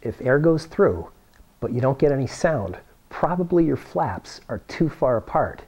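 A man's voice: medium pitch, very animated, unhurried, a little mellow, breathy edge. A man, giving a plain-spoken, encouraging how-to demonstration to camera as an instructor. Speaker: science toy-making instructor. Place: indoor workroom with cabinets.

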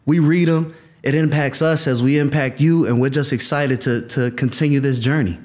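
A young adult man co-hosting a casual podcast, playful and joking, asking questions on a studio boom mic in a high-rise room. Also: steady tone, normal speed, darkish tone. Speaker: podcast co-host. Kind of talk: casual chat. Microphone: studio mic on boom arm.

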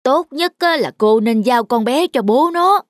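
A woman's voice: high-pitched, animated, unhurried, no echo, studio quality. A woman voicing a bossy, disapproving older female relative in a cartoon. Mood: scolding. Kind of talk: cartoon dub acting.

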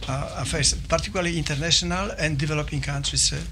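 An older man with a Polish accent gives a formal talk in an office meeting room, halting and restarting as he searches for words. His voice is a little high with a gravelly edge. The far camera picks up clear room noise around him. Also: fairly even intonation. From Poland. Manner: halting, with many fillers and restarts. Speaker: visiting scholar presenting. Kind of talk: formal presentation to a small audience.

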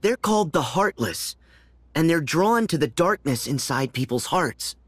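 A man's voice: fairly high pitch, animated, a little slow, husky, no echo. A man playing an eager, cheerful teenage boy hero in a video game. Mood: confident, reassuring. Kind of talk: video game cutscene acting.

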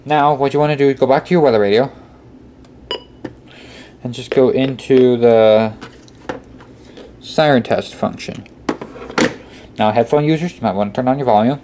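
Casting: male how-to presenter, medium pitch, casual and methodical, repeats instructions, recorded with noticeable background noise. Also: normal speed, pauses often, dark tone. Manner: step-by-step, repeats himself. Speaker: tutorial presenter. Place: indoor workbench. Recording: clearly noisy amateur room recording. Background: clear background noise.

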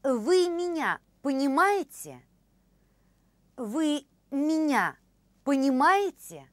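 A woman in her thirties demonstrating phrases for a language course, repeating each one slowly and carefully in a studio. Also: sits high, very animated, no echo. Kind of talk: slow, clear phrase demonstration. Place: studio with orange backdrop.

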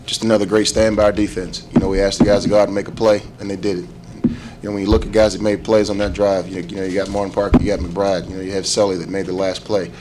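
A man in his thirties speaks as a football coach at a postgame press table. He talks fast and halting, with a low voice. The recording carries clear background noise. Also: flat delivery. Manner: quick, halting, many "you know" fillers. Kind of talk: postgame press conference answers. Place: press room before a sponsor backdrop. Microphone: table mic in front.

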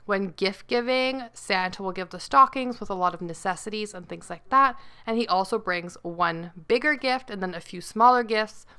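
A woman's voice: mid-range voice, pushed and bright, clear, resonant tone. A woman in her thirties chatting casually to the camera as a vlogger in her home, upbeat with lots of "like" and "um". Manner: chatty, upbeat, many fillers. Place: home dining room and kitchen.

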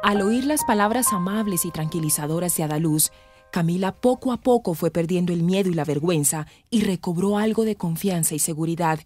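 A woman's voice: on the low side, a little fast, a little mellow. Colombian woman narrating over music. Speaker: radio drama narrator. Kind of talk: dramatic scripted narration.

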